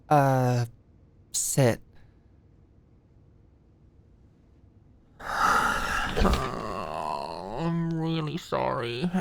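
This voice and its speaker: A woman's voice: low voice, gravelly, a little mellow, no echo, heavily acted. A young woman acting an anxious, flustered medical student and study buddy in an ASMR roleplay, rambling and stammering apologetically. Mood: embarrassed, apologetic.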